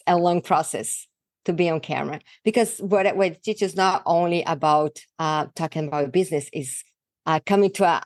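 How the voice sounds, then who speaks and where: A woman from Brazil with a thick Brazilian Portuguese accent and Portuguese as her first language, a guest in an interview, talking in a halting way and searching for words. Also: low-pitched, darkish tone, no echo. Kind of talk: casual interview talk.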